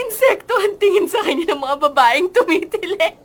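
A woman's voice: extremely high pitch, expressive, normal speed. A woman playing a feisty, proud and cheeky female student in a radio drama. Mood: teasing, amused. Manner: spirited, cheeky.

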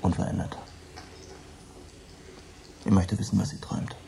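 A man's voice: little pitch movement, normal speed, creaky, slight background noise. An actor playing a guilt-ridden man in a radio drama, intense and brooding. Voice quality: low dark voice, intense and brooding. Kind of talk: radio play acting.

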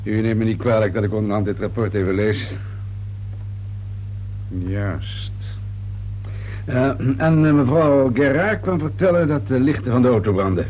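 Actor playing a shrewd inspector over noisy hum. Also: male voice, fairly low pitch, dull audio, natural voice. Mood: polite, probing. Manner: musing, unhurried questioning with a polite, probing edge. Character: wry, methodical police inspector, deceptively affable and shrewd. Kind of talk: radio drama acting. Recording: hum and steady noise behind voice.

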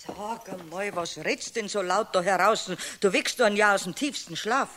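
An actress playing a stern, scolding wife in a radio play, no-nonsense, with a Bavarian accent. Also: female voice, medium pitch, moderate pace, fairly bright tone, close to an everyday voice. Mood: annoyed, sleepy. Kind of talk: 1970s radio play acting.

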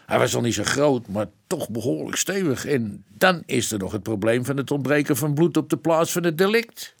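A man with a Dutch accent playing a blunt, colloquial police detective puzzling over a case in a radio drama, with a gravelly voice. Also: mid-range voice, lively intonation, normal speed, no echo, clean studio sound. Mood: puzzled. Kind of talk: naturalistic radio drama acting.

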